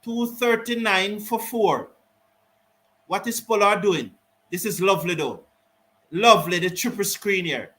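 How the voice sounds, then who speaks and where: Bright high-voiced man calling cricket. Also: a little slow, long pauses. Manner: lively, rises excitedly on big shots, Caribbean English phrasing. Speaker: cricket watch-along commentator. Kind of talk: live ball-by-ball commentary reading viewer chat.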